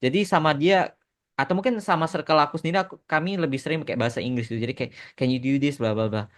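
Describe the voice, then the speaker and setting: A man's voice: fairly high pitch, fast. A young man telling stories casually on a podcast, informal and mixing in English phrases. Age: young adult. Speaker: animation creator answering fan questions on a podcast.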